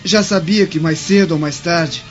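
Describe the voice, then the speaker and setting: A man's voice: on the high side, moderate pace, highs cut off, faint music behind, lightly acted. An actor playing a defiant, impetuous young Roman noble in a radio drama, assertive in his delivery. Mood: pleading, defiant.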